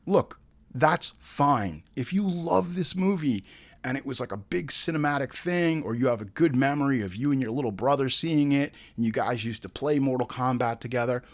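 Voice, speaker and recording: Male review host, mid-pitched and highly animated. Casual, comedic, foul-mouthed and exasperated, with emphatic slangy asides. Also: moderate pace. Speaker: host of a movie review show.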